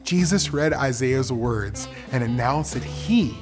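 A man giving a calm, measured scripted Bible narration for children. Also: medium pitch, over music. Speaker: Bible lesson presenter.

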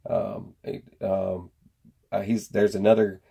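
A man's voice: fairly low pitch, flat delivery, slow, vocal fry, warm tone, slightly rough. An American man giving a casual, rambling product chat to camera in folksy slang. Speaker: survival gear vlogger. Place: indoor workshop at a workbench.

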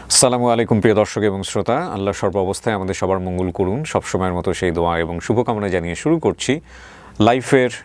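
A man's voice: lowish voice, dry recording. A man hosting an interview show, speaking politely and formally and mixing English words into his talk. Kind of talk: interview hosting, addressing viewers.

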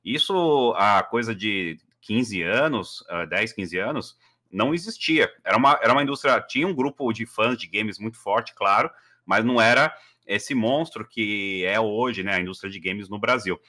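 A man's voice: on the low side, lively intonation, normal speed, bright, forceful tone. A man, telling his story as a guest on a video call, chatty with many fillers. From Brazil. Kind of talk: casual interview storytelling. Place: living room at home.

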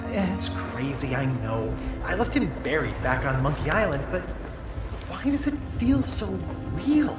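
An actor playing an earnest, excitable young male pirate narrating his diary theatrically in a video game. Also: a little high, a little slow. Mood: uneasy, haunted. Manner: earnest, expressive, dramatic storytelling. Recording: echoey voice under loud music.

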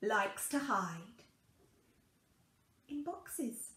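A young woman reading a children's picture book aloud in a deliberate, sing-song way with dramatic pauses, recorded in a small room at home. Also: a little high, big pitch swings, fairly bright tone, roomy sound, slight background noise. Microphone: camera a couple of metres away.

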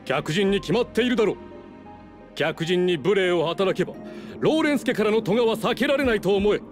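A man's voice: sits high, animated, a little fast. A man voicing a haughty, scheming nobleman in a Japanese video game, speaking formally and condescendingly. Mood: indignant, commanding. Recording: game music behind the voice.

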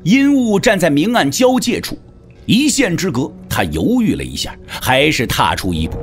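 Very animated male narrator. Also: on the high side, normal speed, faint music behind. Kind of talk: dramatic scripted narration of a martial arts novel.

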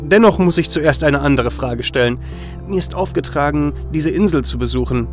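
An actor playing a polite, muscular young hero in a fan-made audio drama, earnest and courteous, over soft music. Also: male voice, on the high side, moderate pace, lightly acted.

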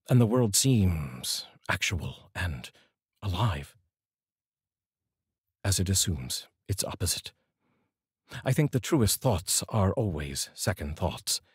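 A middle-aged man with a husky voice, speaking in measured, deliberate phrases like a poetry reader, close to a studio microphone. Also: deep voice, darkish tone, dry recording. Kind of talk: poetry reading with casual introduction. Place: small dark indoor studio. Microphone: large studio microphone, close.

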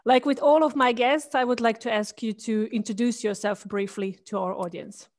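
A woman in her thirties hosts an interview over a video call, speaking into a headset mic. Her voice sits a little high, and she asks her questions in a friendly way with short murmurs of agreement. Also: steady tone, moderate pace, a little mellow. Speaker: host. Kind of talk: interview host.

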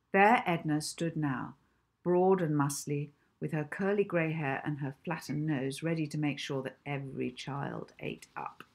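A middle-aged woman narrates a children's story from a script. Her voice sits low and has a husky grain. She reads at home into a device in front of her. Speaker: author reading own novel. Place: indoor room at home.

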